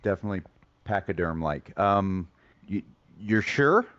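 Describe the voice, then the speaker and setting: A man playing a hesitant, needy colleague in a comic skit, halting and stammering as he prods. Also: deep voice, expressive, a bit bright, highs cut off. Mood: hesitant, polite. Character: hesitant, increasingly needy colleague fishing for credit. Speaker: show colleague. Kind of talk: scripted comic skit, conversational.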